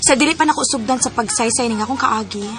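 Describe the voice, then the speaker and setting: A woman playing soft-spoken heroine Ana. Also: on the high side, fast, music in the background, natural voice. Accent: Cebuano. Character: young woman Ana, kind and generous. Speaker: narrator and heroine. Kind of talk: radio drama.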